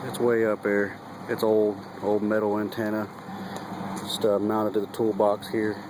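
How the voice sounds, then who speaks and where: Man, folksy homemade how-to, monotone delivery, colloquial phrasing, clear engine and vehicle noise behind the voice. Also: fairly low pitch, measured pace. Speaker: truck driver and hobbyist demonstrator. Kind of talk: casual handheld how-to walkthrough. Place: in and around a vehicle. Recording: noisy vehicle and engine hum.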